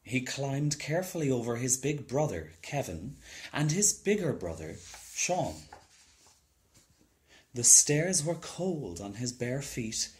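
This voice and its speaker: Guy reading a kids story slowly, voice goes up and down a lot. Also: mid-range voice. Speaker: storybook reader. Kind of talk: scripted children's story narration.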